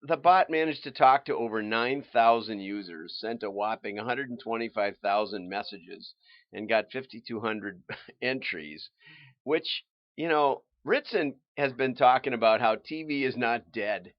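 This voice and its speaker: A man's voice: mid-range voice, a little slow, no room sound. A man hosting a livestream, chatting casually about adverts in a rambling, folksy way. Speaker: livestream host. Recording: slightly dull audio.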